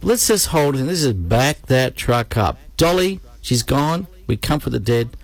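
A man with an Australian accent and a husky voice, hosting a community radio chat show with camp, playful banter. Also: medium pitch, sing-song, measured pace, no room sound. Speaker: radio host. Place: radio studio. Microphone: studio microphone.

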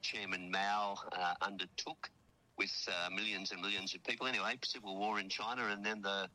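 Aussie correspondent calling in, bright tense voice, lowish pitch, rambling with lots of ums. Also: male voice, flat delivery, normal speed, rough recording. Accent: Australian. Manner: chatty, rambling, many fillers and restarts. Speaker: radio correspondent. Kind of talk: casual chat on a phone link. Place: outdoors at a memorial hall abroad.

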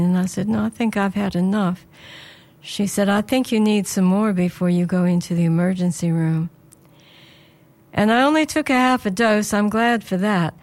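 Slightly hoarse woman presenter. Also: mid-range voice, a little mellow. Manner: earnest, prayerful, conversational. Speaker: radio presenter.

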